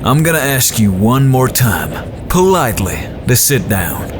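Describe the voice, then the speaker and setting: A man's voice: mid-range voice, big pitch swings, measured pace, background noise. A man playing a bossy, exasperated train robber in a Western audio drama, with condescending false politeness. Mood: impatient threat. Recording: music bed behind the voice.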